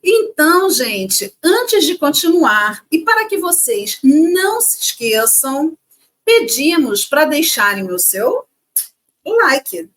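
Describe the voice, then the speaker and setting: A woman's voice: high-pitched, sing-song, slow pace, a bit bright. A woman co-hosting a casual educational chat, reading facts and adding playful asides. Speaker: co-host.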